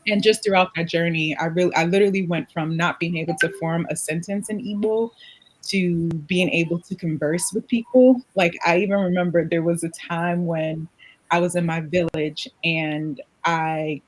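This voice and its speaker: A woman's voice: on the low side, moderate pace, low-quality recording. A woman guest in a podcast interview, speaking casually and expressively, laughing as she tells her story. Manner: casual, expressive, laughs while telling stories. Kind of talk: conversational interview.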